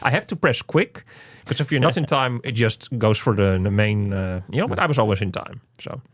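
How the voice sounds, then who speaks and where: A man's voice: fairly low pitch, animated, brisk, fairly bright tone, no echo. Guy doing a chatty game review, rambles a lot, says you know constantly, really dry sound. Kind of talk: casual conversational game review.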